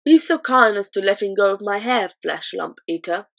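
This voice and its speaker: A woman voicing a kind, gentle male giant who speaks politely with muddled grammar and jumbled words. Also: medium pitch, lively intonation, moderate pace, gravelly. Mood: calm.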